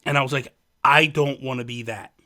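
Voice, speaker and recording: A man's voice: mid-range voice, steady tone, fairly quick, bright, forceful tone. A man hosting a podcast, chatting casually and rambling as he goes, laughing easily. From New Jersey. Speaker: podcast host.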